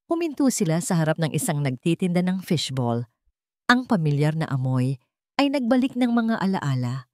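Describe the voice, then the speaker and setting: A woman's voice: low voice, very animated, normal speed, no room sound, studio quality. A woman narrating a scripted romance story in a dramatic storytelling style.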